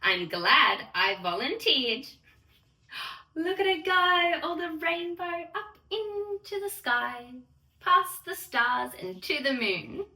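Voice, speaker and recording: A very bright, high-voiced woman reads a children's bedtime story at an unhurried pace. Her delivery is theatrical and sing-song, addressed straight to little listeners, in an echoey room. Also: expressive. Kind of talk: expressive bedtime story read-aloud for small children. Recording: roomy echo, distant microphone.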